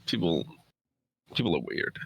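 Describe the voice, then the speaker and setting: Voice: moderate pace, muffled recording. A streamer chatting casually, informal and emphatic in the way of talking. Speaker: streamer, host of a guest conversation. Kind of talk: casual chat.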